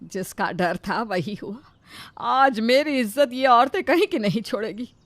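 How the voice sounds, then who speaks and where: A woman's voice: on the high side, lively intonation, a little slow, no room sound. A woman voicing a scolding, lamenting Indian mother-in-law in a dramatised cartoon moral story. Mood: dreading.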